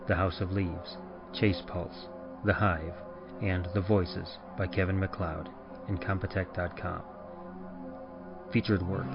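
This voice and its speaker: A man, the podcast's host and narrator, reading production credits in a measured, even announcer style. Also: low-pitched. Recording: dull lo-fi with music behind.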